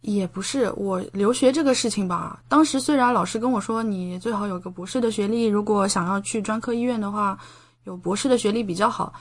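A woman in her thirties speaks at a medium pitch in a casual interview. She explains and recounts in a chatty, conversational way, often checking in with little tag questions. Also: fairly quick. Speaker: podcast guest, doctor studying abroad.

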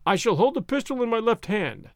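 A man voicing a cool, confident masked swordsman hero who gives calm, commanding orders with a mocking edge. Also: sits high, brisk, no room sound, clean studio sound, pitched above the performer's own voice. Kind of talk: audiobook character reading.

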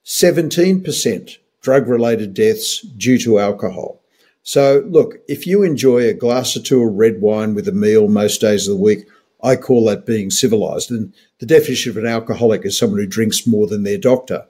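An Australian man in his sixties speaks as a doctor on a health podcast. His voice is fairly low and a little husky. He talks emphatically, with firm opinions and a wry sense of humour. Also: moderate pace, warm tone.

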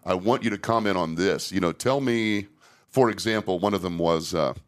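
A man's voice: deep voice, normal speed. A middle-aged man hosting a casual podcast conversation about safety training, speaking candidly with frequent restarts.

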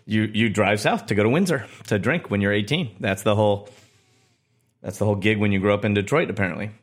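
A man's voice: fairly low pitch, fast talker. A man co-hosting a podcast interview, asking questions in a casual, joking and teasing way. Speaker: podcast co-host.

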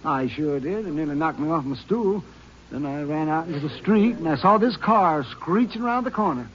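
An actor playing a folksy, talkative small-town druggist in an old radio western, rambling and excitable. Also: male voice, on the high side, normal speed, natural voice. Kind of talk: 1950s radio drama acting. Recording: dull lo-fi old radio recording.

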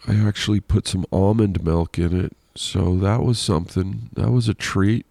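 A man's voice: low-pitched, fairly even intonation, moderate pace, creaky, warm tone, dry recording. A man hosting a casual solo podcast, rambling and self-deprecating with frequent laughs, sounding congested and nasal. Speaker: podcast host.

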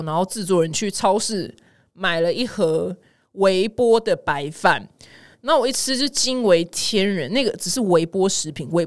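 A woman with a Taiwanese Mandarin accent hosting a casual, comedic news chat, talking in an animated, exaggerated way. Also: fairly high pitch, no room sound. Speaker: podcast host.

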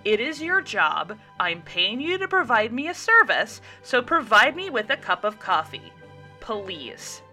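Pregnant woman, sharp-tongued and stubborn, ranting and insistent; read by a woman. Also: mid-range voice, expressive, measured pace, soft background music. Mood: insistent, lecturing. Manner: ranting, insistent, rising to shouts.

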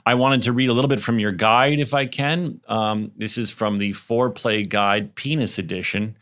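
A man's voice: on the low side, steady tone, normal speed, a bit bright. A man hosting a podcast in casual conversation, with a joking, teasing way of talking. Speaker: podcast host.

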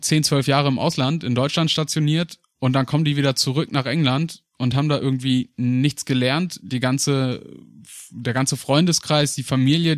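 A young man from Germany chats on a podcast, rambling through personal stories with plenty of fillers and easy laughs. His voice sits at a middling pitch. The recording is close and dry, with no room sound. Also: no room sound. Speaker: podcast co-host. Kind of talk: casual chat.

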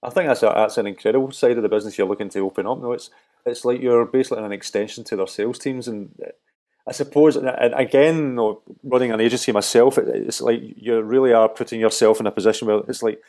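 Male interviewer, very quick pace, soft-edged mellow tone, casual and somewhat tentative phrasing. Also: medium pitch, steady tone. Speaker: interviewer, agency owner.

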